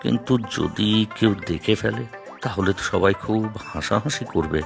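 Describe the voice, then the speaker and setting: A man's voice: on the low side, moderate pace, vocal fry, music in the background. A man voicing an exasperated, bashful young groom-to-be who grumbles reluctantly in a children's cartoon. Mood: hesitant.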